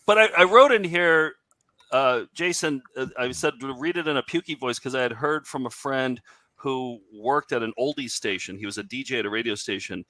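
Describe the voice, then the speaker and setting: A man's voice: mid-range voice, normal speed, sharp tone, no room sound. A man hosting and directing a comic table read in casual, joking chat.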